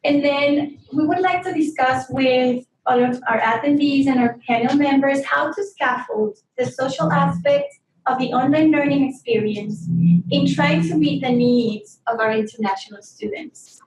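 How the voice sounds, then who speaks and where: A woman hosting a workshop, explaining things politely and pausing now and then to find her words. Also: high-pitched, a little mellow. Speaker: webinar host and presenter. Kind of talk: presenting to a live workshop. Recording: echoey room, distant mic, faint noise.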